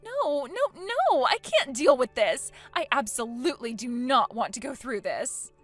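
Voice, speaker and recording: A woman's voice: high voice, very animated, measured pace, faint music behind, full character voice. A woman voicing a spoiled, vain woman in her late twenties in acted story dialogue, dramatic, whiny and indignant. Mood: panicked. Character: spoiled, vain, panicky 29-year-old woman.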